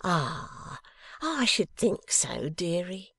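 A woman voicing a warm-hearted, delighted old working-class wife in a classic novel reading, with folksy, exclamatory speech. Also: lowish voice, sing-song, extremely slow, creaky, breathy edge, no echo, voice pushed up, heavily acted. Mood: playful, excited.

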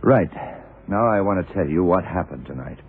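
A blunt, self-assured police detective with an extremely low voice. He questions methodically and deliberately, like a classic radio drama investigator. The sound is an old, noisy broadcast with a narrow band. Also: expressive, gravelly. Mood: accusing, assured. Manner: deliberate, probing, punctuated with yeah and right. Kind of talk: 1970s radio drama acting.

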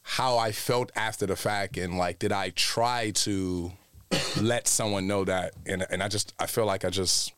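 A man co-hosting a podcast in casual chat, hesitant and quick to laugh. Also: low voice, steady tone, fairly bright tone, clean tone, no room sound. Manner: hesitant restarts, laughs easily. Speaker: podcast co-host.

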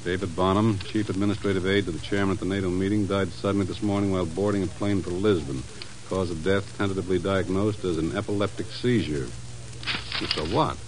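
A middle-aged man playing a sceptical, excitable intelligence chief in a 1950s radio drama, blustery and incredulous. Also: low-pitched, a little fast, natural voice, poor audio.

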